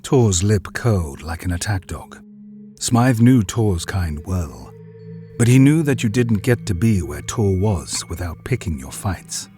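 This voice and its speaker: A man narrating a scripted drama in a grave, deliberate storytelling manner. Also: low-pitched, very animated, mellow, faint music behind.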